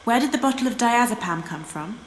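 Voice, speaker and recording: An actress as a woman investigating a haunting in a horror game. Her voice is on the high side, with a direct, questioning delivery. It echoes, and noise is clearly heard behind it. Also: expressive, brisk, lightly acted. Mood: probing. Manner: direct, inquisitive.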